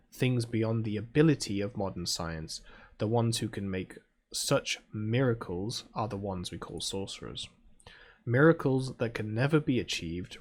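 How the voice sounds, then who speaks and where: A man with a British accent reads visual novel text aloud and adds casual asides as a gaming video host, in a soft-spoken, chilled ASMR-like way. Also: fairly low pitch.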